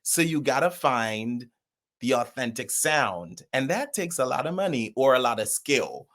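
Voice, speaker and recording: A man's voice: mid-range voice, unhurried. A man with a Jamaican accent, a guest on a podcast, talking casually about acting and slipping into patois. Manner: lively, drifts into Jamaican patois. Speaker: guest, actor and theatre producer.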